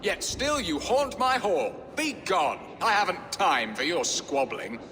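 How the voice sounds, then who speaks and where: A man's voice: sits high, huge pitch swings, unhurried, a crowd in the background, exaggerated voice. An actor playing a wry, middle-aged nobleman in a video game, with a theatrical, sardonic, flowery way of speaking. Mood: exasperated. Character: wry, florid middle-aged English nobleman, weary husband. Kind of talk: video game cutscene acting. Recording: long hall reverb, music behind.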